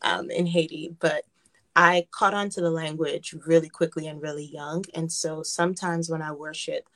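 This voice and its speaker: A woman's voice: deep voice, steady tone, normal speed, a bit bright. A woman from the United States speaking earnestly and reflectively as a guest in a conversational interview. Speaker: gospel singer, radio guest.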